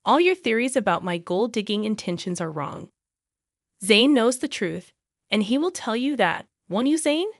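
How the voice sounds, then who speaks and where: A woman's voice: a little high, animated, moderate pace, dry recording, clean studio sound. A woman voicing a wronged, defiant young wife in a narrated story, challenging with questions. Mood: indignant.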